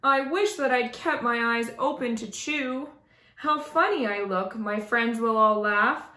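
A woman's voice: a little high, measured pace, bright, forceful tone, roomy sound. A woman voicing a silly young male giraffe from a storybook, exclaiming and pleading theatrically. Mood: dismayed.

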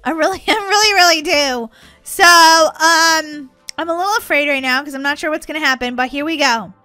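A woman's voice: sits high, moderate pace, pushed and bright, dry recording. A woman hosting a gaming video with exclamatory, giggly, kid-friendly commentary. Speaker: gaming channel host. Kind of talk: playful gameplay commentary. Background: background music.

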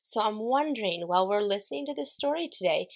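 Creaky-voiced woman addressing children. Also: mid-range voice, expressive, fast talker. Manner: clear teacherly address to young children. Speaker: story reader, teacher. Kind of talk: read-aloud introduction for children. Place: small furnished room indoors with curtains.

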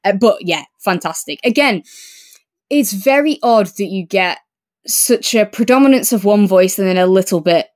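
A woman with a medium-pitched voice chats as a podcast co-host. She talks in a casual review style, qualifying her points and thinking aloud. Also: expressive, normal speed, darkish tone. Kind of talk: casual chat, book review discussion.

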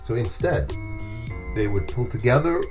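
A man's voice: medium pitch, very animated, normal speed, music in the background, close to an everyday voice. A middle-aged man playing a modest, earnest journalist being interviewed on a scripted podcast. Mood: earnest explaining. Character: modest, earnest male wire-service journalist. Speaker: guest journalist. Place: small furnished podcast studio. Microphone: studio microphone on a boom arm.

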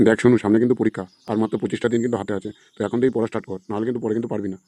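Stern, worried father for a cartoon, played by a man: dark, fairly level voice, grumbling advice. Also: on the low side, flat delivery, racing, no room sound, lightly acted. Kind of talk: amateur cartoon dialogue.

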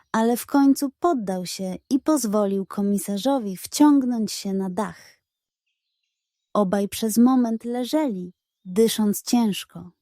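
Female storyteller for children's detective tales: unhurried, soft-toned, suspenseful, recorded dry. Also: on the high side, no room sound.

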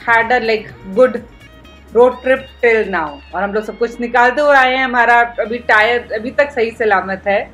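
A woman talks through a road trip from inside a car, her voice a little high, mixing easy chat with English phrases. Soft background music plays under her voice. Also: a little slow. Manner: casual, mixes Hindi with English phrases. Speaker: travel vlogger. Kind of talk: road trip vlog commentary.

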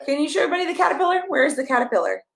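A woman in her thirties reading a picture book aloud to a toddler, expressive and encouraging, coaxing the child along with questions. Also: sits high, very fast, reverberant. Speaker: pastor reading a children's story. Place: small carpeted room at home, indoors. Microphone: camera a step or two away.

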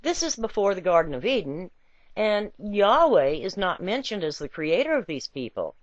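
Animated woman narrator, dull lo-fi sound. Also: medium pitch, moderate pace, dry recording. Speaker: reader of a written essay. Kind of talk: expressive scripted narration of a satirical essay. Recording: dull, lo-fi home recording.

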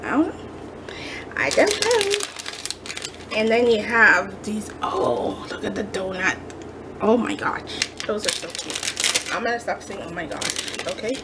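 A woman's voice: medium pitch, sing-song, measured pace, pauses often, very noisy. A woman chatting casually as she shows off a craft shopping haul, gushing with many fillers, recorded at a kitchen counter. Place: home kitchen counter, indoors.

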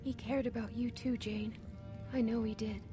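A woman's voice: a little high, flat delivery, moderate pace, close to an everyday voice. A woman playing an earnest, resilient young American girl who survives in a dramatic video game. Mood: gentle. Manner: direct, earnest. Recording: music behind the voice.

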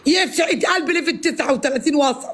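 A woman with an Egyptian Arabic accent, hoarse, trading sassy retorts as a guest on a live stream. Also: sits high, rapid-fire, noisy background. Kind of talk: bickering live-stream chat.

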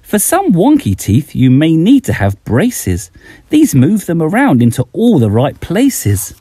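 A man's voice: fairly high pitch, huge pitch swings, a little slow, mellow, husky, dry recording, clean studio sound. A middle-aged man reading a rhyming bedtime story aloud, expressively, as a children's author addressing young listeners.

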